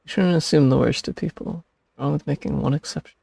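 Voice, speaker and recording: A man's voice: on the high side, a little fast, gravelly, darkish tone. A man giving casual reaction commentary over a game in brief asides, close on a streaming mic.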